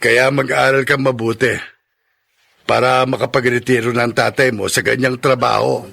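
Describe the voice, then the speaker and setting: A man's voice: mid-range voice, flat delivery, normal speed, creaky, pushed and bright, no echo, clean studio sound. A man playing a kindly, avuncular neighbour in a radio drama. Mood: earnest advice. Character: kindly older neighbour man giving youngster advice.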